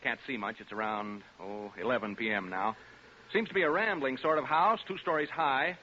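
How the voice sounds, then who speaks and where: A man's voice: mid-range voice, normal speed, pushed and bright, natural voice. An American man playing a brash, wisecracking radio DJ, narrating a ghost hunt in the flippant style of 1940s radio drama. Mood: breezy showmanship. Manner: flippant, joking, showman-like. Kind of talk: 1940s radio acting, live remote broadcast narration. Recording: dull, noisy 1940s radio recording.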